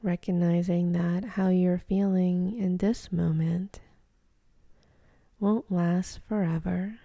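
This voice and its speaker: A woman leading a guided meditation in a soft, breathy, soothing voice, gently giving instructions with long pauses. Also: on the low side, steady tone, no echo, clean studio sound.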